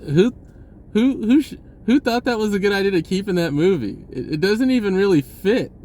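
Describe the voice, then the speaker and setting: A man's voice: high voice, lively intonation, moderate pace, darkish tone. A man giving a casual, rambling spiritual talk, restarting his sentences and chuckling now and then. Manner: rambling, laid-back, with frequent restarts and chuckles. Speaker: spiritual vlogger.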